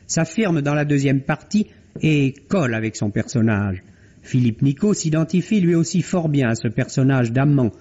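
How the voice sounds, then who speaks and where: Male radio film critic, 1950s French style. Articulate, literary, soft-edged tone, muffled vintage sound. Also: medium pitch. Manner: articulate, formal, literary phrasing. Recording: dull, band-limited vintage radio recording.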